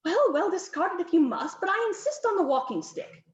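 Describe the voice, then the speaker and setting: A woman voicing a bossy, imperious middle-aged countess who talks in a brisk, insistent, high-pitched way. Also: big pitch swings. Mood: bossy, fussing. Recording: roomy, slightly muffled home recording.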